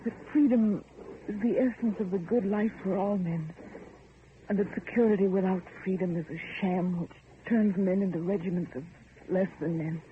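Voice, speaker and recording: A woman's voice: medium pitch, steady tone, moderate pace, lightly acted. An anguished, earnest heiress, played by an actress speaks through a narrow, tinny vintage radio recording. Her delivery is theatrical and full of feeling, with faint noise underneath. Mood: fervent. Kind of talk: old-time radio drama acting.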